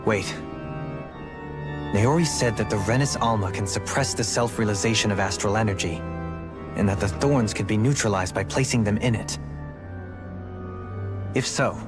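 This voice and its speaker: An actor as a serious, thoughtful game companion. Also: male voice, on the low side, close to an everyday voice. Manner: reasoning, articulate, serious. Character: thoughtful, probing male party member. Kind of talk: scripted video game voice acting.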